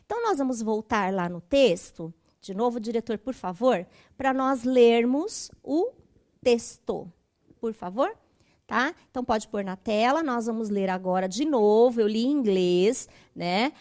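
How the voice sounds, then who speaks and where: A woman's voice: a little high, expressive, a little slow, darkish tone. A woman, teaching a school English lesson conversationally and often checking in with tag questions. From Brazil.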